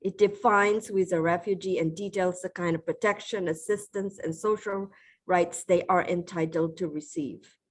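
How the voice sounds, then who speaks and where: A middle-aged woman from the Philippines giving a formal, measured acceptance speech with occasional restarts. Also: lowish voice, steady tone. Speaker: award recipient giving a speech.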